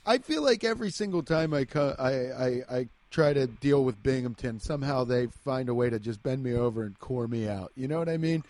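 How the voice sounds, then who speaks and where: A man's voice: medium pitch, lively intonation, fairly quick, few pauses, darkish tone, dry recording. A guest comedian, a man, improvising in a rambling, stammering, profane way over a video call.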